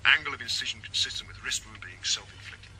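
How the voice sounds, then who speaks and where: A curt, exasperated police detective. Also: male voice, medium pitch, fast, sharp tone, exaggerated voice, low-quality recording. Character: impatient police detective, middle-aged man, dismissive. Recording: old radio drama with clear hiss.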